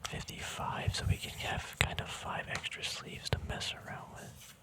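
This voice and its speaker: A man whispering casual ASMR to viewers in a breathy, unvoiced voice, rambling and thinking aloud without hurry, very close to the mic. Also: normal speed. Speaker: ASMR host. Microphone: mic very close to the mouth and nose.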